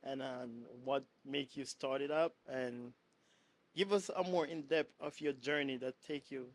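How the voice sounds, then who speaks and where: A man's voice: medium pitch, steady tone. A male podcast host with a mid-range voice, putting questions to his guest. He restarts and repeats words as he works out what to ask, keeping the tone easygoing. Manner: halting, repeats words while asking. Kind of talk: casual interview questions.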